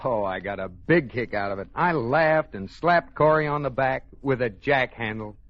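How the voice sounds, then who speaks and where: An actor playing a genial, patient banker husband in a 1940s radio sitcom, teasing and soothing by turns. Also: male voice, mid-range voice, moderate pace, close to an everyday voice. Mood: amused. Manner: teasing, excitable then soothing. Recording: dull, hissy old radio recording.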